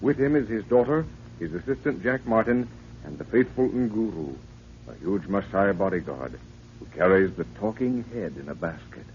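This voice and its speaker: A man's voice: on the low side, animated, moderate pace, a little mellow, lightly acted. A man acting as the narrator of a 1930s radio adventure, reading a scripted story recap. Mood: solemn, storytelling. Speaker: announcer. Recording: tinny, noisy 1930s radio recording.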